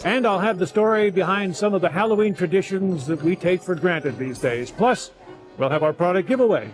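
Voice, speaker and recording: A male feature-show host with a fairly high voice narrates in a folksy, reflective way. His phrasing is deliberate, like a storyteller. Music plays behind him.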